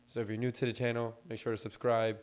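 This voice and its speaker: A man co-hosting a laid-back podcast talks in a fairly level, even tone with little rise and fall. His voice is a bit hoarse and scratchy, like it's only just coming back. He explains things loosely, with plenty of fillers along the way. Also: medium pitch, quick pace. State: hoarse, recovering lost voice. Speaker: podcast co-host. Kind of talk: casual podcast chat.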